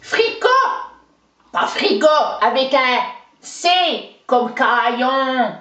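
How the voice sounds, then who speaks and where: A woman's voice: sits high, sing-song, moderate pace. A woman playing a chatty, boastful older countrywoman with a Franc-Comtois accent, rambling in a folksy way. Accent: Franc-Comtois (Haut-Doubs). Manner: folksy, rambling, peppered with "hein" and "oh ben". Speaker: interviewee. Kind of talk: comic character interview. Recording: roomy, dull lo-fi sound.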